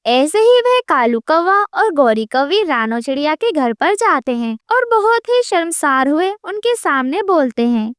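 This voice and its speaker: Very high, animated woman narrator. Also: unhurried, hardly any pauses, dry recording, studio quality. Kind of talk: scripted children's moral story narration.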